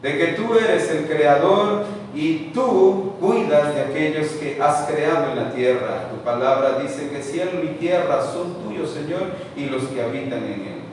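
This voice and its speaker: Earnest male spiritual leader, preacherly address and prayer, voice sits a bit high, distant mic in a reverberant meeting hall. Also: unhurried, slight background noise. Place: meeting room. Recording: echoey hall, picked up from far off.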